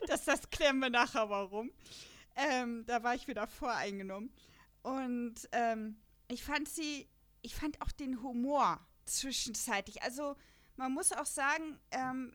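A woman's voice: high-pitched, fairly bright tone. A young woman co-hosting a casual fan podcast, chatty and laughing often between filler words. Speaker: podcast co-host.

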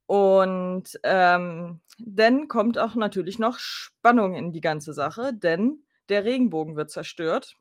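A woman's voice: medium pitch, takes it slowly, hardly any pauses, no echo, clean studio sound. A woman co-hosting a podcast, giving a casual recap and chatting informally. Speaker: podcast co-host.

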